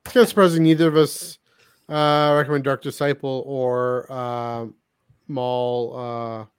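A man's voice: medium pitch, level tone, clear, resonant tone, no echo. Rambling male podcast co-host, dry sound. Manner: halting, self-interrupting, rambling. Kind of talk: casual discussion of franchise books and comics.

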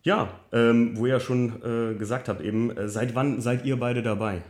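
A man's voice: mid-range voice, steady tone, normal speed, a little mellow. A man hosting a casual chat, asking questions in German conversation style. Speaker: host. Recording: roomy, echoing room.

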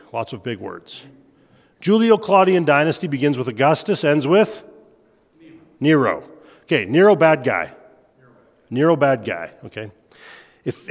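A man with a head cold gives a relaxed evening lecture, joking at his own expense now and then. His voice sits a touch high. It rings out with a long reverb, as if he is speaking in a large hall. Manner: relaxed, self-deprecating, quick to laugh. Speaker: church history lecturer. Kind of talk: informal lecture at a church meeting. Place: church meeting room.

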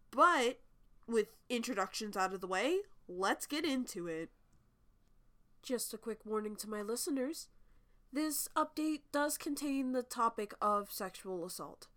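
A woman's voice: medium pitch, fairly bright tone. A young woman from New England hosting a true crime podcast, chatty with frequent ums, her voice congested from a cold. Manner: chatty with frequent ums, laughs between lines. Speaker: podcast host.

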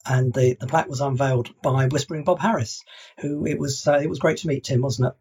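A man's voice: mid-range voice, fairly even intonation, brisk, darkish tone, husky. A man from England co-hosting a podcast in casual chat, often restarting his sentences with fillers. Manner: hesitant, many restarts and fillers. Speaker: podcast co-host.

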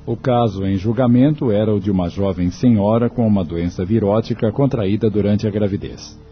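A man's voice: lowish voice, a little fast, slight background noise. A man reading scripted narration for a radio drama. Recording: dull, lo-fi radio recording, music behind. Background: faint music.

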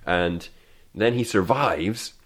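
A man's voice: lowish voice, slow pace. A man in casual chat, retelling a movie plot in a loose, meandering way with laughs and quoted lines.

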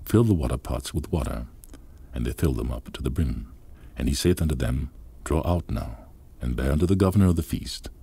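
Very deep, gravelly man reading scripture. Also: mellow. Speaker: Bible reader.

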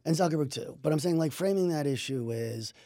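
A man's voice: fairly high pitch, lively intonation, a little fast, mellow, no echo, studio quality. A man co-hosting a podcast who talks analytically, laying out his points step by step and checking in often with "right?". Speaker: podcast co-host. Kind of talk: casual podcast chat.

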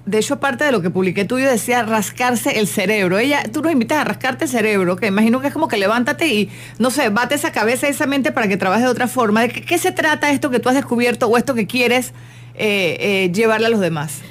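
A woman hosting a radio talk show, chatting in a casual, expressive way with quick asides. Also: a little high, slight background noise.

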